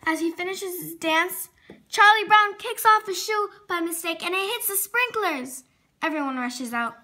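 A very high, bright female voice reads a children's storybook aloud. Her delivery is expressive, with words sometimes stumbled over and then corrected. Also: normal speed. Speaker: storybook reader.

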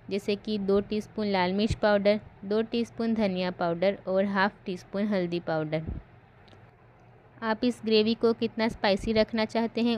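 A woman host giving calm, step-by-step voice-over narration for a home cooking recipe. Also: mid-range voice, a little hiss or hum.

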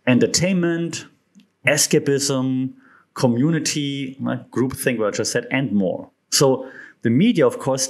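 A man in his thirties with a German accent, hosting a podcast from his living room in a chatty, animated way with joking asides. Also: mid-range voice. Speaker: podcast host. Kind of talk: casual chat. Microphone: studio mic on stand in front.

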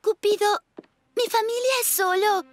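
A woman's voice: very high voice, steady tone, a little slow, slight background noise. A woman voicing an anxious, sweet teenage fairy-tale girl in a Latin American Spanish cartoon dub. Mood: anxious, pleading. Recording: music behind the dialogue.

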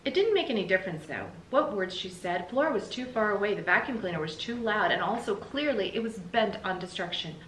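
A middle-aged American woman reading a children's story aloud in an animated, emphatic, explanatory way, like a teacher. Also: medium pitch, fairly quick, few pauses. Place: small indoor room with bookshelves. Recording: roomy echo, faint background noise.

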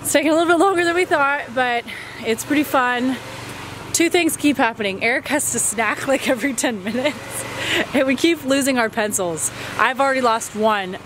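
A woman's voice: high-pitched, moderate pace. Woman in her 30s, easygoing explainer voice, recorded outside on a camera mic with light noise. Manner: chatty and explanatory. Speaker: homesteading vlogger. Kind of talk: casual on-camera narration. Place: outdoors at a snowy forest homestead.

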